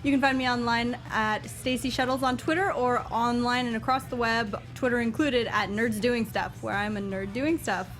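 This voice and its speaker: A woman with a slightly high voice co-hosts a casual movie chat. She is dry and wry, with some music behind her. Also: fairly even intonation, normal speed, few pauses, a bit bright. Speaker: co-host. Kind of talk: casual chat, film review.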